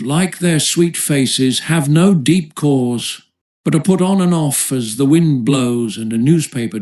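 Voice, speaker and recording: A man narrating an audiobook of a nineteenth-century essay in a measured, formal literary manner. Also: mid-range voice, darkish tone.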